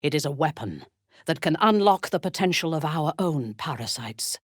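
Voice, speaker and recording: Ruthless drow elf lady for a video game, low husky voice, slow n menacing, dry close sound. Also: expressive, no echo. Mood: imperious. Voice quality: husky, breathy. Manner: imperious, deliberate, formal diction. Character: haughty, ruthless drow elf warrior woman, commanding and menacing. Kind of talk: video game voice acting, dramatic character lines.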